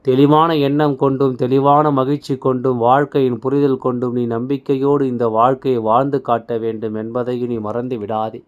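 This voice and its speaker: Smooth-voiced man giving devotional exhortation. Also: medium pitch, flat delivery, fairly quick, hardly any pauses, highs cut off. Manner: exhorting, repetitive, addressing listener as a child. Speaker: devotional channel speaker delivering messages in a deity's voice. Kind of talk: spiritual motivational monologue.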